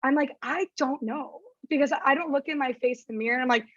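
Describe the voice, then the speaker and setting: A young woman with a raspy voice, chatting casually as a podcast guest and saying "like" a lot. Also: high-pitched, quick pace, fairly bright tone, dry recording, highs cut off. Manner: chatty, heavy use of "like". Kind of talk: casual chat.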